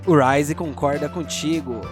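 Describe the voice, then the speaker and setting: A man with a Brazilian accent hosting and narrating a tabletop RPG audio drama as game master, in a theatrical, deliberate storyteller's manner. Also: a little high. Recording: music playing behind the voice. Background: music.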